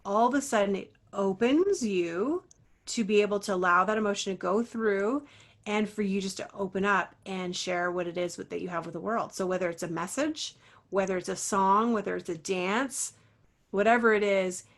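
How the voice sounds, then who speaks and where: A woman's voice: mid-range voice, moderate pace. Earnest, encouraging woman voice coach. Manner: earnest, encouraging, many fillers. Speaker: guest, voice teacher and success coach. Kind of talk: interview talk.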